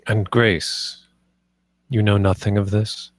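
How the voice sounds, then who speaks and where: An actor playing the grave, commanding master of a household, speaking with deliberate authority in a screenplay table read. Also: male voice, fairly low pitch, fairly even intonation, dry recording. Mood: stern authority.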